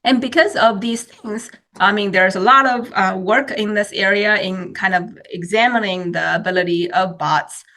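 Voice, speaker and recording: A woman's voice: medium pitch, normal speed, sharp tone. A woman whose first language is Chinese, explaining research in a webinar presentation with many fillers and restarts, speaking over a video call.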